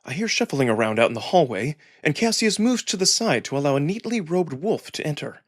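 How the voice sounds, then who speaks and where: A man with a husky voice reading first-person fiction aloud as a dramatic narrator. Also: a little high, sing-song, a little fast, no room sound, clean studio sound. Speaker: narrator and performer of a visual novel reading. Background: background music.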